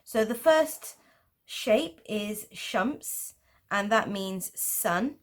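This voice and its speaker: A woman's voice: mid-range voice, expressive, extremely slow. Patient woman teaching a drawing lesson. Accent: Pakistani English. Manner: patient, explanatory, with light laughs. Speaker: art teacher. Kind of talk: tutorial explanation.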